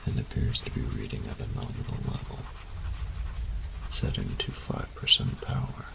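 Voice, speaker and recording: A man playing a meticulous, reclusive artificer in an audio roleplay, dictating formally and precisely in a gravelly, breathy voice. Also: normal speed, heavy background noise, close to an everyday voice. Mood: formal, focused. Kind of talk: scripted audio roleplay acting.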